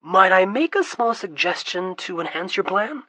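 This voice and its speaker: A reader doing a calm, courtly wizard. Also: medium pitch, normal speed, no echo, voice pushed up. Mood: flattering. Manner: composed, courteous, persuasive. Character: calm, smooth-talking adult wizard adventurer.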